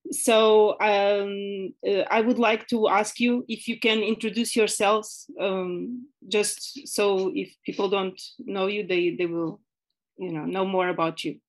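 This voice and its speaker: A woman's voice: medium pitch, steady tone, slow pace, rough recording. A woman moderating an online conference panel, talking with many hesitant fillers over a video call.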